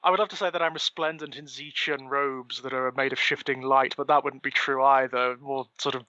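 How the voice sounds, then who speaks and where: A man's voice: medium pitch, steady tone, a little fast, sharp tone, no room sound, lo-fi sound. A male podcast co-host bantering in a wry, playful way with slightly formal phrasing. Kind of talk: casual banter.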